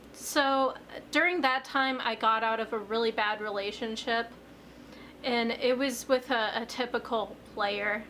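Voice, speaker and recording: A young woman in her twenties talks straight to her viewers in a loose, rambling vlog style, full of "um" and "like". Her fairly high voice is still a little hoarse from a recent illness, and it jumps up in pitch whenever she leans on a point. A faint hiss sits under her voice. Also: a bit bright. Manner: rambling, filler-heavy, jumps up in pitch on emphasis. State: slightly hoarse after illness. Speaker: vlogger. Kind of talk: casual confessional chat to camera.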